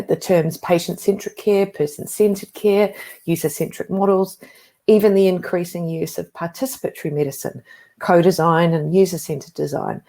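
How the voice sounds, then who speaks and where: A woman's voice: fairly low pitch, vocal fry, darkish tone. New Zealand woman telling a conference story. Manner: conversational storytelling with asides. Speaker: conference speaker. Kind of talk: conference talk.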